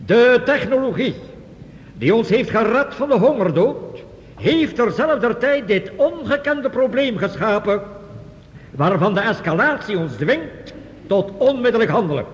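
A high male voice rings through a long hall reverb on a dull old radio play recording. He is a solemn official, urgent and emphatic, delivering a formal warning to an assembly. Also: sing-song, a little slow, exaggerated voice. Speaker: official addressing delegates. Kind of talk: Dutch radio play acting, formal oratory.

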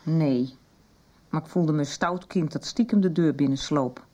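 A woman's voice: deep voice, a little slow, dark tone. An actress playing a troubled middle-aged mother in a radio soap, guarded and ashamed about her drinking. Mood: confiding, ashamed. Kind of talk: 1990s Dutch radio drama acting.

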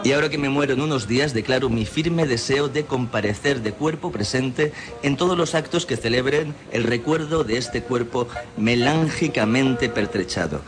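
A man's voice: medium pitch, moderate pace, few pauses, lightly acted. Man acting dying self-styled monster, Castilian Spanish accent, radio drama, theatrical, solemn, morbidly defiant, mid-range voice, music underneath. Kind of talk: scripted literary radio monologue.

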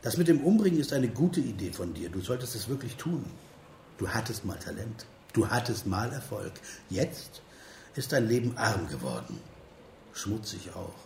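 A bitter, scornful man in a radio drama. His voice is fairly low, and he needles in short, deliberate, emphatic phrases. The space is roomy and echoing, with faint ambient noise. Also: sing-song, creaky. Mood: mocking. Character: bitter, mocking man needling a failed writer friend. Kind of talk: radio play dialogue. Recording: roomy echo with noisy ambience.

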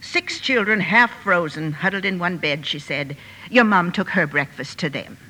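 An actress playing a plain-spoken family housemaid in a period drama, folksy and deferential. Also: female voice, lowish voice, animated, normal speed, bright, forceful tone, low-quality recording. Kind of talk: period radio drama acting.

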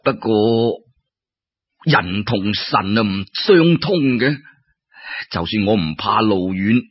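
Man acting kindly old fisherman, low and husky. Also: expressive, no room sound, heavily acted. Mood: moved, sighing. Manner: slow, sighing, drawn-out phrases. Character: kindly, sentimental old fisherman, grateful and devoted. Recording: dull lo-fi radio-style recording.

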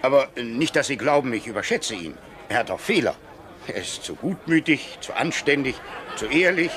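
An actor playing an older scarred man in a wheelchair, a gruff, insistent supporter in a radio play. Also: medium pitch, animated, normal speed, fairly bright tone, some chatter behind, close to an everyday voice. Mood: stubborn.

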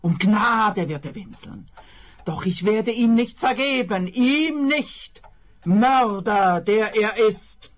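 A woman's voice: medium pitch, expressive, slow pace. An actress playing a paranoid, self-righteous old woman in a radio play, declaiming her lines theatrically. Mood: defiant, grandiose. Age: older. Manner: declamatory, melodramatic.

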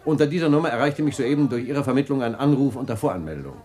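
An actor in a radio drama playing a flustered party host who grows indignant on the phone. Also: male voice, medium pitch, expressive, quick pace, warm tone, lightly acted. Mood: troubled. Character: James Brent, rattled man hosting a party.

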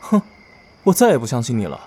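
Chatty young guy friend in audio drama man acting, soft mellow voice, kinda grumbly but caring. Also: medium pitch, wildly swooping pitch, quick pace, a little hiss or hum. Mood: playful exasperation.

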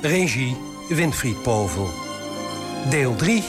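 A man announcing the titles and credits of a radio drama in a deliberate, declamatory way. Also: mid-range voice, sing-song. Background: music.

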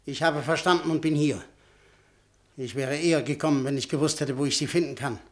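A man's voice: on the high side, steady tone, a little fast, lightly acted. An actor playing a domineering, threatening businessman who barks questions and orders in a radio play. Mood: angry, demanding. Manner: imperious, barking questions and orders. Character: domineering, threatening big-shot businessman, quick to bluster. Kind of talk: German radio play acting.

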